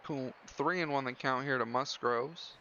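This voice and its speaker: Man calling baseball over loud, muffled audio. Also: medium pitch, normal speed, vocal fry. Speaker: play-by-play commentator. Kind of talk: live baseball commentary. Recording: dull lo-fi audio with loud background noise.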